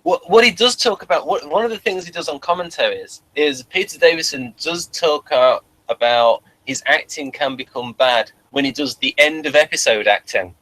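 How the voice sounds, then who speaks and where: A man's voice: fairly high pitch, normal speed, few pauses, pushed and bright, poor audio. A man chatting casually as a podcast host and reviewer, opinionated and often doubling back on his phrases. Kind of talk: casual chat.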